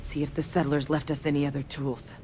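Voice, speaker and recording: An actress in a video game playing a tough, terse female field operative who gives tactical orders in a businesslike, measured way. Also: low voice, flat delivery, darkish tone, slight background noise, lightly acted.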